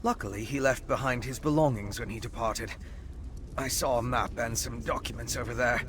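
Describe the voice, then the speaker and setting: Faint game ambience sits behind a mid-pitched male voice. It is a refined vampire scholar , acted by a man for a video game. He speaks in measured, articulate phrases with a dry, bookish wit and an investigator's curiosity. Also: noisy background, lightly acted. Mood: strained, calm. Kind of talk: video game character dialogue.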